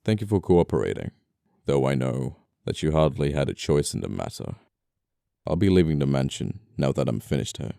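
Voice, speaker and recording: Casting: male actor for scoundrel murderer in ASMR roleplay, very deep and smooth, politely menacing, apologetic, flirtatious, quaint wording. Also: very animated, moderate pace, dry recording, clean studio sound, full character voice. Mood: apologetic, flirty. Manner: soft-spoken politeness, condescending, old-fashioned phrasing. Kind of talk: intimate ASMR audio roleplay acting.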